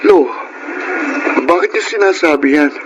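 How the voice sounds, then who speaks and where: A man's voice: a little high, unhurried, lo-fi sound, background noise, lightly acted. A young man voicing a grateful, humble youth in an audio drama, narrating and speaking politely and earnestly. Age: young adult. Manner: polite and earnest, respectful speech. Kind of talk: Filipino audio drama, first-person narration and acting. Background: music.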